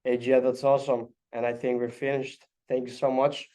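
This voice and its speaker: A man's voice: mid-range voice, flat delivery, normal speed, warm tone. A man interviewing someone in a casual, encouraging and informal way.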